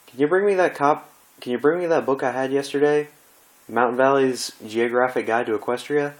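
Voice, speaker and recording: A man's voice: medium pitch, a little fast, voice pushed up, natural voice, rough recording. A man voicing a studious young female pony in a cartoon fan story, patient and matter-of-fact with a touch of annoyance. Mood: preoccupied.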